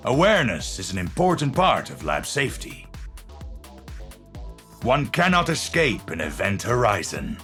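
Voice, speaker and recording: An actor voicing a brilliant, tormented scientist in a video game, with a Dutch accent and a measured, authoritative manner that shifts between gentle and intense. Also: male voice, mid-range voice, full character voice. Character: Sigma, brilliant tormented scientist, kindly authoritative, unstable, given to bad jokes.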